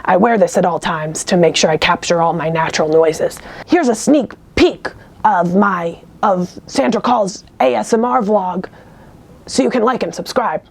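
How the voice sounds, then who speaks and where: A woman voicing an awkward young wannabe ASMR vlogger who talks in a hesitant, halting, oblivious way. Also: fairly low pitch, animated, gravelly, slight background noise. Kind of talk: comedic character monologue.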